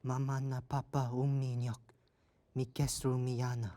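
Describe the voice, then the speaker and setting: A man's voice: mid-range voice, little pitch movement, normal speed, warm tone, close to an everyday voice. Man acting an earnest, pleading captive tribesman. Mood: earnest, defiant.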